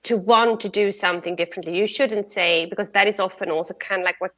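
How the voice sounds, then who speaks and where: A woman's voice: mid-range voice, steady tone, quick pace, a bit bright. A woman from Sweden hosts an interview, asking questions in a friendly, reflective way. Her voice sits mid-range for a woman, and it comes through somewhat muffled and lo-fi. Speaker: podcast host. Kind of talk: conversational interview.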